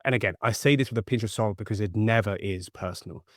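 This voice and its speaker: The low-voiced male guest on a career podcast, earnestly and emphatically handing out advice in a dry, close recording. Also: fast, no room sound. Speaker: podcast guest, actor and startup founder. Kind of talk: conversational interview answers.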